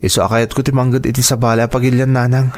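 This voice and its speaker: A man's voice: medium pitch, flat delivery, fast, lightly acted. A man acting in a radio drama as a shy, earnest young man in love, with an Ilocano accent and a hesitant delivery.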